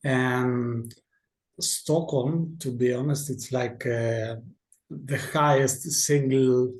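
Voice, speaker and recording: A man's voice: mid-range voice, steady tone, extremely slow, a little mellow. Greek guy on a video call, lots of ums, echoey room. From Greece. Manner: hesitant, many fillers and restarts. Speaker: interview guest. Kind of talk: casual video-call interview.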